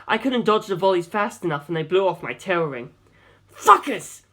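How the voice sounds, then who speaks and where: A male audiobook narrator with a fairly high speaking voice for a man. He reads scripted first-person adventure prose as a storyteller. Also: animated, a little fast.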